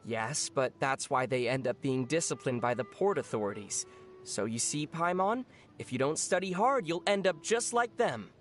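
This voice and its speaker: An actor voices a young traveler from an anime video game. The delivery is calm and measured, knowledgeable and matter-of-fact. There is a wry, gently teasing edge to it. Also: medium pitch, soft background music, natural voice.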